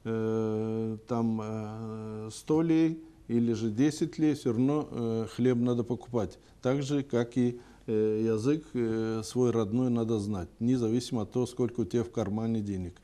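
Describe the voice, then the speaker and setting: A man giving unscripted interview answers as a TV guest, speaking in a halting, deliberate way. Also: mid-range voice, warm tone. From Gagauzia, Moldova. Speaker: TV programme guest.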